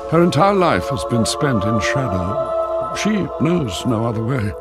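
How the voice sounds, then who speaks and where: An older man voicing an ancient, wise dragon keeper of books in a video game, speaking gravely and theatrically with drawn-out pauses. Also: mid-range voice, very animated. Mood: grave warning. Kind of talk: video game cutscene acting, solemn storytelling. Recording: loud music behind the voice. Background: loud cinematic game music.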